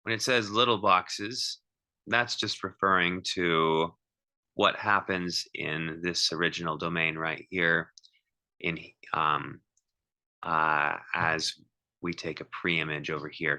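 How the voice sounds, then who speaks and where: A male math teacher with a low-pitched voice and a very bright, forceful edge. He explains step by step in an informal lecture style, pausing, restarting and repeating phrases as he thinks aloud. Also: fairly even intonation.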